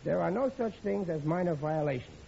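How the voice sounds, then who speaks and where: High-voiced, flustered, blustering American dad. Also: male voice, animated, fairly quick, gravelly, warm tone. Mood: pompous. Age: middle-aged. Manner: stammering, nervous chuckling between phrases. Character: overconfident bumbling middle-aged father and husband, flustered, blustering. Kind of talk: 1950s radio sitcom acting. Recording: muffled, very noisy old radio recording.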